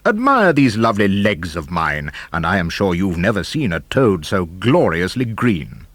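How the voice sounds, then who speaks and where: Smug giant toad voiced by a man, low voice with a lively swing, preening, storybook creature, dry close sound. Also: moderate pace, no echo, heavily acted. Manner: pleased with himself, showy. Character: vain giant male toad, smug and chatty.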